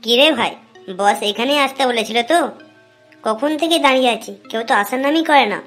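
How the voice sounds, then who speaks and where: A woman's voice: high voice, fairly quick, a bit bright, soft background music. A woman voicing a grumbling, sly petty thief in a comic cartoon.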